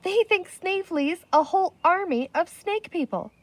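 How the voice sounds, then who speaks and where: A woman's voice: extremely high pitch, lively intonation, moderate pace, dry recording, pitched above the performer's own voice. A woman reading a children's storybook as a sensible, earnest, wide-eyed young girl. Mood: wide-eyed realization.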